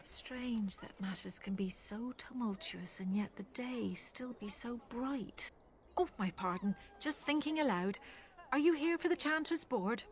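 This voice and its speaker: A woman's voice: fairly high pitch, a little slow. A woman voicing a humble, devout religious sister who tends relics, in a video game. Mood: musing.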